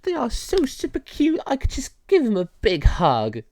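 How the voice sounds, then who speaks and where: A performer puts on a tiny girl fairy from a storybook, pitched far up above natural talk. The fairy is sweet, tender-hearted and gushing, with swooping intonation. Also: wildly swooping pitch, normal speed, a little mellow, dry recording.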